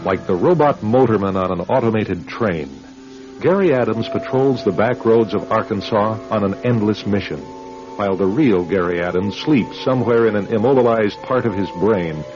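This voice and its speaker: Low-voiced dramatic radio narrator, a man. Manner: measured, dramatic announcer delivery. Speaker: host and narrator. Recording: dull radio recording, music behind.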